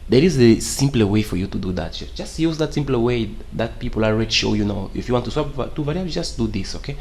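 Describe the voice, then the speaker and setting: A man's voice: medium pitch, expressive, quick pace, darkish tone, a little hiss or hum. A young man whose first language is Portuguese, teaching programming in English in a casual, rambling way and often checking in with "okay?". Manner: rambling, repeats "okay?" often, non-native grammar. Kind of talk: casual screen-share tutorial.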